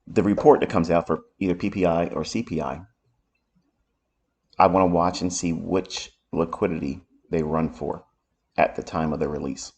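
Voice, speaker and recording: A man with a raspy voice from allergies, giving unhurried live commentary as he explains market charts. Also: low voice, fairly even intonation, a little mellow. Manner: unhurried, thinking aloud while explaining charts. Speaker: trading educator. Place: home office.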